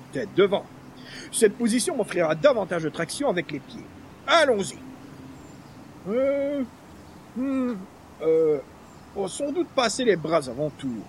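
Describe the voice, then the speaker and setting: A man's voice: high voice, a little hiss or hum. An actor playing a pompous, hapless male columnist with florid, formal wording in a radio comedy. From Quebec. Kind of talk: 1940s-style radio comedy acting.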